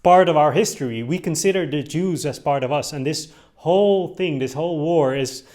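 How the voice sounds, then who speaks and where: A man's voice: a little high, normal speed, a little mellow. A young Dutch-born man of Moroccan roots commenting on a topic in a casual, hesitant way, with many fillers and restarts. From Netherlands. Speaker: video host commenting on clips.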